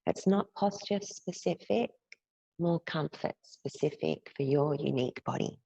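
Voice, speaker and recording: A woman teacher leading a guided yoga nidra meditation in a gentle, soothing, unhurried way, leaving long pauses between phrases. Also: low voice, vocal fry, slightly rough, no room sound, lo-fi sound.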